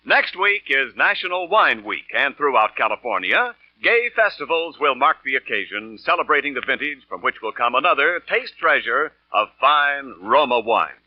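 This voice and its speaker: A man's voice: medium pitch, sing-song, normal speed, few pauses, dry recording. A man with an American accent reading a scripted 1940s radio commercial as the announcer. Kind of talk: 1940s radio advertising read. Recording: muffled old radio recording.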